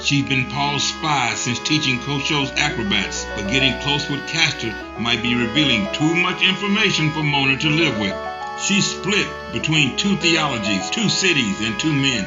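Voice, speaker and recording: A man reading the narration for a radio drama in steady, mid-pitched recap style. The recording sounds dull and lo-fi, and music plays clearly behind him. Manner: measured recap storytelling. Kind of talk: scripted narration.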